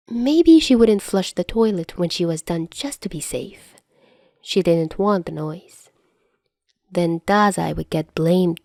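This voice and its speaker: A woman reading fan fiction aloud as narrator, in an expressive audiobook style. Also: lowish voice, dry recording, clean studio sound.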